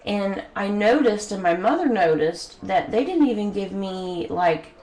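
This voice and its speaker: A woman's voice: medium pitch, lively intonation, measured pace, a little mellow. Sniffly young woman with a head cold. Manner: earnest, many hesitant ums. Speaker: vlogger. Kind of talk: personal talk to camera. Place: bedroom home studio. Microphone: studio mic. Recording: echoey room, faint background noise.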